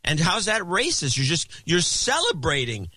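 A man's voice: on the high side, huge pitch swings, moderate pace, pushed and bright, husky, no echo. A man co-hosting a podcast in casual banter, wry and teasing, rambling off on tangents. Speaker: podcast co-host.

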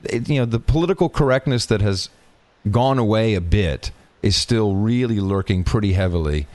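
A man with a somewhat low voice chats on a podcast, wandering through his thoughts with frequent hesitations and fillers. His voice sits close and dry on the mic. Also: animated, moderate pace, a little mellow, no echo. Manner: rambling, hesitant, full of fillers. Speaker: podcast co-host. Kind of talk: casual chat.

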